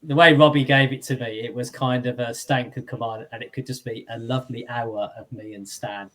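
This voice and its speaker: A man's voice: medium pitch, fairly even intonation, a little fast, fairly bright tone. A man co-hosting a football podcast, rambling casually and poking fun at himself. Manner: rambling, self-deprecating storyteller. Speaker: podcast co-host. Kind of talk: casual chat.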